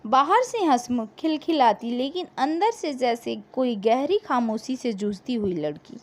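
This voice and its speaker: High-pitched, expressive woman storyteller. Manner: dramatic, emotive delivery with deliberate pauses. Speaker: storyteller, narrator. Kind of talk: scripted dramatic story narration.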